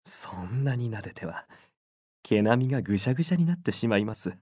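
An actor playing a refined fox-like sword spirit in a game, speaking politely and with gentle reproach. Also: male voice, on the low side, lively intonation, a little fast, breathy edge, no room sound, clean studio sound. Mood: gently chiding. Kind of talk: Japanese game character acting.

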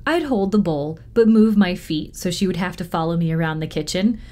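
A woman reading a children's novel aloud in expressive storytime narration. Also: lowish voice, brisk. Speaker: library storytime reader.

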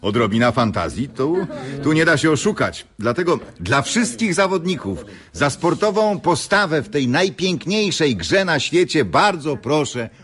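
An actor playing a genial, earnest tournament announcer in a radio drama, giving a ceremonial speech with slight hesitations. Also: male voice, a little high, very animated, moderate pace, crowd noise. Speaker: master of ceremonies. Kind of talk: Polish radio drama acting.

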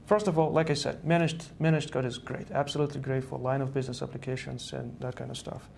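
A man with a gravelly edge to his voice explains things earnestly, often doubling back on his words. He speaks in a studio interview over a lapel mic, with faint hiss underneath. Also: mid-range voice, steady tone, quick pace, darkish tone. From Estonia. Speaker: guest, software engineer. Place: virtual news-style studio set.

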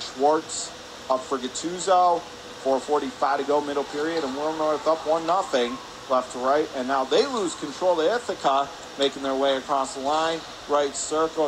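A man calling live hockey play-by-play for radio from an indoor rink. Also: on the high side. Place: spectator area of an indoor ice rink. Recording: noisy arena hum behind the voice.

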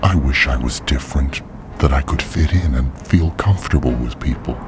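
A man in an audio drama playing a hushed, manipulative inner voice that coaxes and commands deliberately. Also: very deep voice, background noise. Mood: confiding. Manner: deliberate, intimate, urging.

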